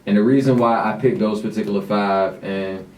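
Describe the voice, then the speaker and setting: A man with a Virginia accent hosting a radio interview, relaxed and affirming, often saying "man". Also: fairly low pitch, a little fast. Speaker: radio show host. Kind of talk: casual interview chat. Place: small radio studio. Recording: roomy studio, distant mic, faint noise.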